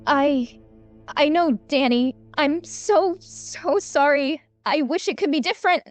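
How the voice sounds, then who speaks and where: A woman playing a gentle, anxious young princess in a fantasy audio drama, speaking in a halting, heartfelt way. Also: sits high, no echo, faint music behind. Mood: sorrowful, hesitant.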